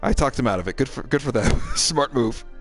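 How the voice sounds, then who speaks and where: A man's voice: medium pitch, lively intonation, brisk, soft background music. A middle-aged man, a game streamer and co-host, chats casually while playing, in a rambling, wry, self-deprecating way.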